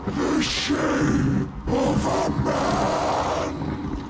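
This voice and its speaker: A woman voicing a deranged, monstrous painting of a tormented painter in a video game, shouting in broken exclamations. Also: drawn-out words, heavy background noise. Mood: anguished frenzy.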